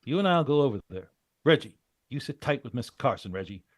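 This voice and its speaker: A man plays a cool, hard-boiled American private operative in an old radio crime serial. He is wry and commanding, with a medium-pitched voice in a dry recording. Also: sing-song, fairly quick, dry recording, natural voice. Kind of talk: 1940s radio drama acting.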